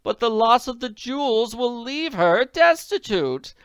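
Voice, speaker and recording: A woman voicing an agitated, elegant middle-aged woman in a storybook, pleading in a breathless, drawn-out way. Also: fairly high pitch, big pitch swings, fairly bright tone, clear, resonant tone, no room sound, clean studio sound, pitched above the performer's own voice. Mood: distressed. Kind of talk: audiobook character voice.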